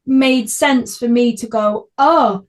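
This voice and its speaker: High-voiced young woman with a Manchester accent. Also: slow. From Manchester, England. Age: young adult. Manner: effusive, chatty. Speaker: podcast host. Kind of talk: casual interview chat.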